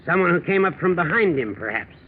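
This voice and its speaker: A formal inquest official with a high-pitched voice, in 1940s radio drama. The recording is thin and band-limited. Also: male voice, expressive, brisk, phone-quality sound, a little hiss or hum. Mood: formal, solemn. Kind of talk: 1940s radio mystery acting.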